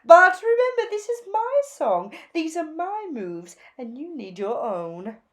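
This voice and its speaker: Woman voicing a flamboyant, bossy bird stylist, swooping high. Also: very animated, fairly bright tone, exaggerated voice. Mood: bossy, showy. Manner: theatrical, gushing, drawn-out emphatic words. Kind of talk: children's storybook character voice.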